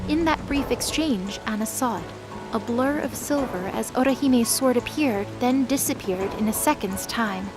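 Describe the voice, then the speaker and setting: A woman narrates a dramatic scripted fantasy tale. Her voice is fairly high. Music plays clearly behind her reading. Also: normal speed.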